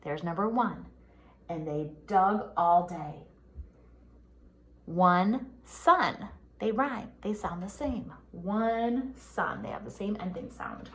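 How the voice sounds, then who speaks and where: A woman reads a picture book to young children as their teacher would. Her pitch moves up and down in an animated, singsong way. She takes her time and stops to put questions to the listeners. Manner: expressive, singsong, pausing to ask children questions. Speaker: pre-K teacher.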